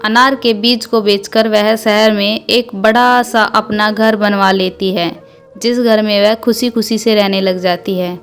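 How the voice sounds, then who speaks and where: A woman's voice: mid-range voice, steady tone, measured pace. A woman reading scripted storybook narration for a moral cartoon story. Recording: music under the voice. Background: background music.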